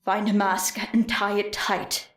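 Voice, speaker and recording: A woman's voice: medium pitch, level tone, a bit bright. A woman voicing a haunting, taunting remembered voice in an audiobook, chant-like and eerie, with an echo effect. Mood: eerie, menacing. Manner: slow, eerie, chant-like delivery. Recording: echoing voice effect.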